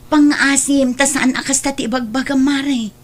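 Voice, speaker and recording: A woman's voice: high voice, fairly even intonation, moderate pace, lightly acted. An actress playing an outspoken neighbour woman in a radio-style comedy drama, speaking in an emphatic, admonishing way. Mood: concerned, admonishing. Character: outspoken neighbour woman, scolding yet concerned.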